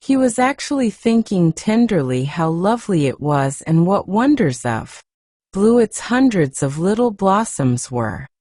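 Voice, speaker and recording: A woman reads a classic tale aloud at a slow, unhurried pace, her voice mellow and warm. Her pitch rises and falls in lively curves. She sounds close and dry, with no room around her. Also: dry recording. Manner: gentle, unhurried, soothing. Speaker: audiobook narrator. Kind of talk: soft bedtime storybook reading.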